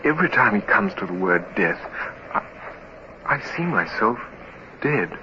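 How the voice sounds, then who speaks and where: An actor playing a frightened, despairing young naval midshipman in a radio drama, speaking hesitantly in a hushed, trembling voice. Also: fairly low pitch, sing-song, a little slow. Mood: despairing, haunted. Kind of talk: 1950s radio drama acting. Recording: muffled, hissy old radio recording.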